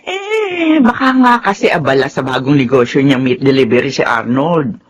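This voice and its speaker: Older grandmother-to-be, excitable, chatty, swooping intonation, radio soap, faint background noise. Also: rumbling low, moderate pace, highs cut off. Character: older mother-in-law, chatty, doting, excited future grandmother. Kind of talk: Tagalog radio drama acting.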